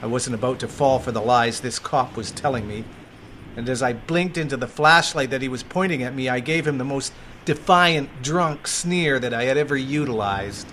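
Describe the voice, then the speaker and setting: A man's voice: medium pitch, moderate pace, a bit bright, natural voice. A man as a weary homeless narrator. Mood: reflective. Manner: reflective, earnest storytelling. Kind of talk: first-person drama narration. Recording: noisy background hiss.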